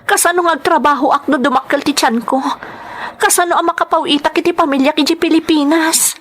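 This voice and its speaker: A woman's voice: high voice, fairly even intonation, brisk, a bit bright, slight background noise. A woman acting in an emotional drama as a distressed, anxious young mother who is in love. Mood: worried. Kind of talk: Ilocano radio-style drama acting.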